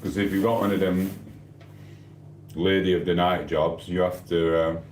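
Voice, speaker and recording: A man's voice: low-pitched, steady tone, moderate pace, echoey room, a little hiss or hum. A middle-aged man from Sheffield with a Yorkshire accent, chatting and mock trash-talking through a late-night live stream from his kitchen. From Sheffield, England. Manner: banter-heavy, mock trash-talking, rambling. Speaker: livestreamer.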